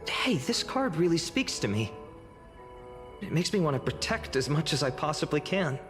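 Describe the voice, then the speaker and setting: Curious young traveler, very lively pitch. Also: female voice, normal speed. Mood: curious, wondering. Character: curious, earnest young traveler, thoughtful and wondering. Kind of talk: scripted game dialogue. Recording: long hall reverb over music.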